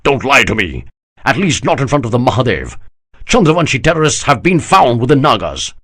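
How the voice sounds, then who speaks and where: A man voicing a stern, husky army general. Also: medium pitch, sing-song, a little fast, fairly bright tone, pitched above the performer's own voice. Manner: scornful, unbending. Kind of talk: audiobook character voice.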